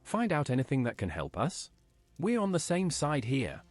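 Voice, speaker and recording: A synthetic, AI-generated man's voice speaking as the player character in a video game, giving plain, even questions and replies. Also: mid-range voice, normal speed, faint music behind.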